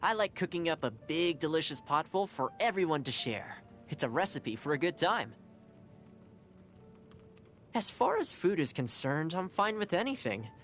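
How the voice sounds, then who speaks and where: A woman's voice: low voice, sing-song, normal speed, soft background music. A woman voicing a cheerful, caring young male housekeeper in a video game, friendly and earnest. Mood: earnest, relaxed. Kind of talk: video game character voice lines.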